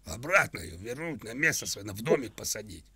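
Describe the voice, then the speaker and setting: A man's voice: lowish voice, big pitch swings, fairly quick, dry recording, heavily acted. A man playing a crude, aggrieved old male pensioner who whines and pesters insistently on a prank phone call. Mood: whining complaint. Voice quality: husky, rough, forceful. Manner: insistent, whining, repetitive, vulgar.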